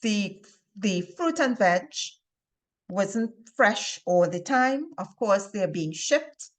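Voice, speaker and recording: A woman from Trinidad and Tobago with a Trinidadian accent gives an informal instructional talk to viewers as a health presenter, speaking deliberately and stressing key words. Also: mid-range voice, animated. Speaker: health and wellness video presenter.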